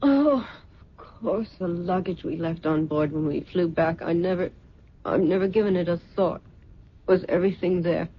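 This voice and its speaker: A woman's voice: normal speed, breathy edge. An actress playing a proud, embittered middle-aged mother in an old-time radio drama, theatrical and bitterly sarcastic. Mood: guarded, weary. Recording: dull, noisy old radio recording with rumble.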